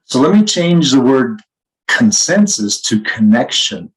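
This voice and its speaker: A man with a medium-pitched voice chats as a podcast co-host. He speaks reflectively, working through ideas and personal anecdotes. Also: very animated, a little slow, low-quality recording. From Canada. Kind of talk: conversational podcast discussion.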